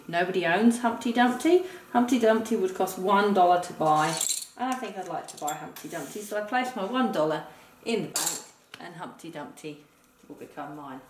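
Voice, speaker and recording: A woman's voice: mid-range voice, a little mellow. A woman talking a partner through a board game like a teacher, explaining step by step and counting aloud. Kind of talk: instructional game play-through talk, addressing a partner. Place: indoor room, game on a table. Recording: roomy, distant mic with noise.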